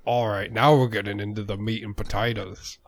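Male comic guest, lowish voice, halting deadpan delivery. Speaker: comedy musician guest. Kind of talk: absurd comedic banter.

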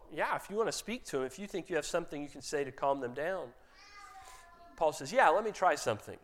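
Guy pastor telling a bible story, fairly high voice, acts out the lines. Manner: animated retelling, quotes characters in his own voice. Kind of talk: sermon storytelling.

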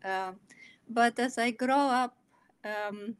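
Slow, hesitant Bulgarian-accented woman. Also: a little high, smooth, dry recording. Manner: hesitant, many pauses and fillers. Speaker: guest, illustrator and author. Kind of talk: personal storytelling in an interview.

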